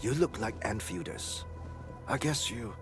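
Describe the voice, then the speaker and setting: A man's voice: lowish voice, animated, a little slow, music in the background. An actor playing a sheepish, mild-mannered male crewman in a video game, colloquial and self-deprecating. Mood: sheepish, grateful.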